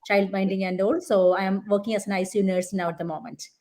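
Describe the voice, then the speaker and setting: A woman's voice: mid-range voice, fairly even intonation, quick pace, mellow. A woman in her forties from Kerala, India, speaking as a guest over a video call, earnest and reflective, with many fillers. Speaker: guest, nurse. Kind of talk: casual conversational interview.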